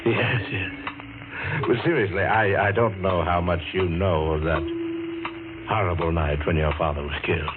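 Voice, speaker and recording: On a hissy, narrow vintage radio recording, a male actor plays a sly, affable older gentleman with something to hide. His voice sits low. He muses haltingly, in classic radio mystery style. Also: fairly even intonation, natural voice. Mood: probing, genial. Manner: halting, full of musing "uh" and "eh". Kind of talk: 1940s radio mystery acting.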